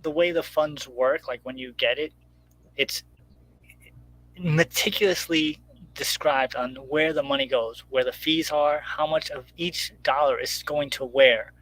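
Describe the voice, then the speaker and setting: A man's voice: a little high, steady tone, a little slow, a bit bright, low-quality recording. A man chatting casually as a guest about his own creative project, rambling informally and acting out little quotes as he goes. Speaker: guest, comic book creator promoting crowdfunding. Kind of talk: casual chat.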